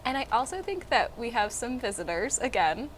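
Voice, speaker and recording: A woman's voice: on the high side, sing-song, normal speed, a little hiss or hum, poor audio. A woman hosting a children's library story time, upbeat and asking simple questions. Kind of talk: friendly children's program talk.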